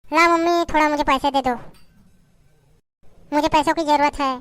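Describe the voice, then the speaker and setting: A woman playing a young college-going daughter who gently calms her mother and her sister-in-law. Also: high voice, moderate pace. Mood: gentle.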